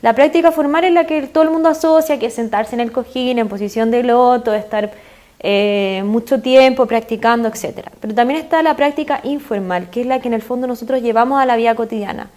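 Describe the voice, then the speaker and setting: A woman's voice: a little high, brisk, darkish tone. A woman with a Chilean accent, a guest expert in an interview, explaining earnestly with frequent fillers. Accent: Chilean Spanish.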